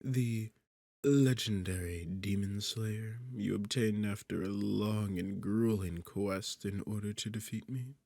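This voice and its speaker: A man acting a gravelly, sarcastic demon king. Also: fairly even intonation, slow, darkish tone, no echo, exaggerated voice. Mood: smug, measured. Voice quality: low, gravelly, husky. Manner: mocking, teasing, condescending, theatrical. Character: sarcastic, smug demon king toying with a captured hero. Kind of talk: ASMR roleplay audio drama.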